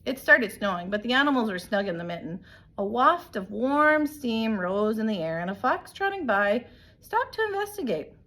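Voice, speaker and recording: A woman reading a picture book aloud in an animated, emphatic way, like a teacher telling a story to young children. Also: fairly high pitch, normal speed, poor audio. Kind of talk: expressive storybook read-aloud.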